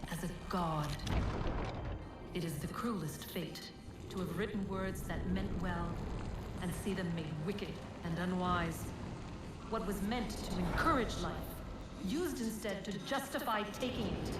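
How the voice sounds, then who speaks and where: A woman's voice: mid-range voice, close to an everyday voice. A woman playing an imperious, bitter, prophetic godlike being in a video game, speaking in a portentous, theatrical, formal manner. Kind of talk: dramatic video game cutscene acting. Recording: heavy echo, distant, under loud music.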